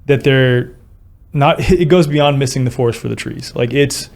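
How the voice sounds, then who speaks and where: Male podcast host, medium pitch, reflective. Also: normal speed, vocal fry, a little mellow. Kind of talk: reflective conversational interviewing.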